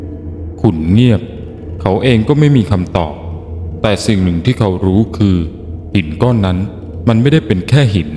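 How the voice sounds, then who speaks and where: A man's voice: on the low side, lively intonation, normal speed, mellow, a little hiss or hum. A man narrating a scripted, dramatic audio story. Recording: long reverb, music bed. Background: faint music.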